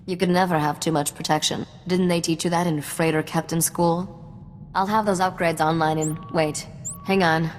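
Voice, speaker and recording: Shrewd woman mechanic for a space smuggler game, played by an actress: dry, wry, lowish voice, music underneath. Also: fairly even intonation, moderate pace, long reverb tail, close to an everyday voice. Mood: sardonic. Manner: dry, sardonic, self-assured. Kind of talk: video game cutscene acting.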